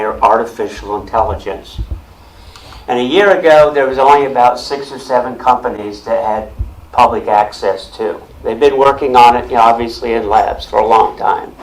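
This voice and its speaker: A man speaks loudly and plainly to a room of listeners through a mic. His voice sits at an ordinary middle pitch. He restarts and repeats words as he works through his explanation. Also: poor audio. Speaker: guest speaker on technology. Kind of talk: explaining to a club audience. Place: meeting room with audience. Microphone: handheld or stand mic with speaker system.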